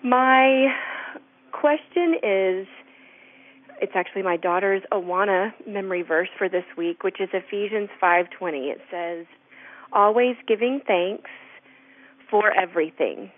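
A woman calling in to a radio show, asking a faith question in a slow, heartfelt way. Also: medium pitch. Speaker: caller. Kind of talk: phone-in question. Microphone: telephone. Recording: tinny phone line.

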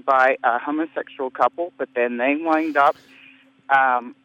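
A man's voice: medium pitch, steady tone, bright, forceful tone, dry recording. Hesitant man calling in over a phone line. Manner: hesitant, halting, restarts often. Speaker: caller. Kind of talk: call-in radio debate.

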